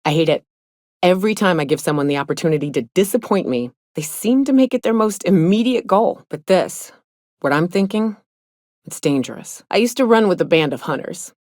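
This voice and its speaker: An actress playing a tough, guarded woman hunter in a video game, in her thirties, with a blunt, folksy drawl and rough slang. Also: fairly low pitch, lively intonation, a little fast, a little mellow, no room sound, studio quality, natural voice. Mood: guarded, earnest. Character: tough, gruff, guarded woman monster hunter in a spaceship crew. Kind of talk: video game character dialogue.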